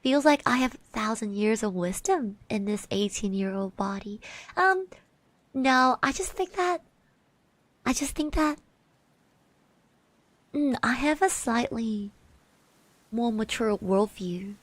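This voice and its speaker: A young woman voicing a shy, kind eighteen-year-old girl classmate in an ASMR roleplay, soft and gentle, hesitant and reassuring, close to the mic. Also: a little high, animated, takes it slowly, pauses often, no room sound. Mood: bashful, pleased. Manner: hesitant, bashful, stammering, reassuring. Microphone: close microphone.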